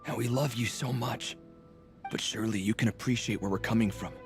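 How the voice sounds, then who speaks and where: A man's voice: medium pitch, a little fast, over music, close to an everyday voice. A man voicing a stern, worried middle-aged father in a video game, firm and admonishing. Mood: apologetic but firm.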